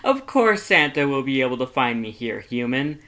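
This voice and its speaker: A man's voice: mid-range voice, very animated, moderate pace, sharp tone. Man putting on a boisterous, bombastic skeleton monster. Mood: boisterous, delighted. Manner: exclaiming, theatrical.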